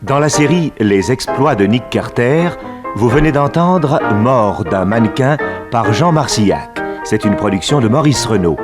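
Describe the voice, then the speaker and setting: A man's voice: medium pitch, expressive, measured pace, over loud music. A man reading closing credits as a formal 1960s French radio announcer. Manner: formal, list-like.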